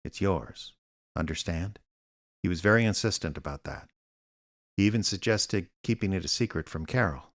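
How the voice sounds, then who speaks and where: An actor playing an older, wry private eye with a dry, sardonic, kindly manner in a radio drama. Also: male voice, low voice, expressive, normal speed, a bit bright. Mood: gentle, earnest. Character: aging wry small-town private eye, gruff but kindly. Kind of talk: radio drama acting with narration.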